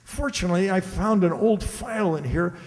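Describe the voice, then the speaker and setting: An actor playing a panicked, indignant husband in a radio play, urgent and exclamatory. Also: male voice, high-pitched, a little fast, darkish tone. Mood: frantic, indignant. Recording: echoey hall, long reverb.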